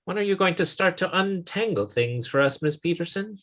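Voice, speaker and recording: A man playing a dry, exasperated middle-aged boss in a 1950s-style radio comedy, with sarcastic, drawn-out lines. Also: sits high, animated, fairly bright tone.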